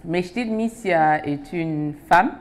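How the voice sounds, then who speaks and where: A woman in her thirties from Germany and Switzerland, native German speaker, answering interview questions softly and hesitantly in a TV studio. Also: fairly low pitch, takes it slowly, a little hiss or hum. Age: 30s. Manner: soft-spoken, hesitant, brief answers. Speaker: foundation president, guest. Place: TV studio set.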